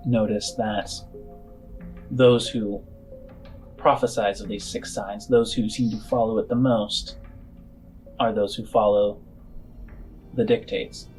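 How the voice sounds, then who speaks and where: Man playing shrewd female boss, skeptical, measured, very slow halting speech, tabletop game, music behind. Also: on the low side, a little mellow, rough recording.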